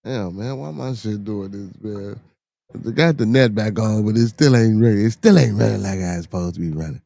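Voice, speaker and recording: A man's voice: on the low side, fairly quick, gravelly, dark tone, dry recording. A middle-aged man with a Chicago accent, hosting a podcast livestream, reading the chat aloud. He talks loosely and profanely, laughs often, and repeats himself for effect.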